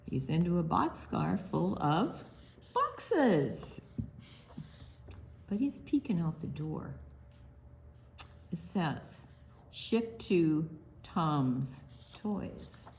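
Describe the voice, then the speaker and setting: A woman reading a picture book aloud to young children, animated, pausing to explain things simply and ask questions. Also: lowish voice, vocal fry, a little hiss or hum. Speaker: children's story time reader.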